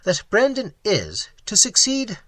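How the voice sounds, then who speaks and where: A man's voice: high voice, huge pitch swings, a little slow, a bit bright, no echo, pitched above the performer's own voice, exaggerated voice. A man voicing a bored, idle earl at a dinner party in an audiobook reading. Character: bored, yawning male earl.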